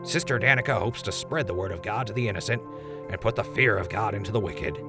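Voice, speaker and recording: An actor playing a wisecracking, chatty ghoul radio host in a post-apocalyptic audio drama. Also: male voice, on the low side, quick pace, over loud music, lightly acted. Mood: wry sales patter.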